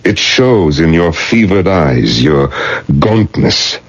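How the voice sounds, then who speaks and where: An older rabbi, paternal and admonishing, in a vintage radio drama. His very low, raspy voice rises and falls expressively with grave caution. The sound is roomy and dull. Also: very animated, slow pace, full character voice. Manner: paternal, grave, sighing interjections. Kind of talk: 1980s radio drama acting.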